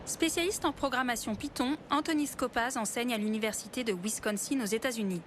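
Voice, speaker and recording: A woman reading a documentary voice-over in a smooth, measured way. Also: on the high side, noisy background. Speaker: documentary narrator. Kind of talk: scripted narration. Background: background music.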